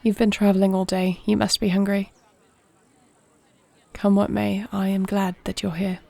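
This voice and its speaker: A woman playing a composed, compassionate warrior princess in a fantasy audio roleplay, speaking formally and with measured care in a husky voice. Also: mid-range voice, little pitch movement, warm tone, dry recording. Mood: earnest, reassuring. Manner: measured, formal, solemn phrasing.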